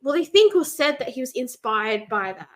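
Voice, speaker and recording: A woman's voice: sits high, very animated, moderate pace. A woman. She co-hosts a casual chat, rambling through her thoughts in an informal, conversational way. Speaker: podcast co-host. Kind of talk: casual chat about books.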